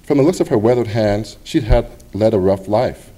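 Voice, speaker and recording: A middle-aged man reading his personal essay aloud at a public library event, in a measured, expressive way with pauses. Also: fairly low pitch, low-quality recording.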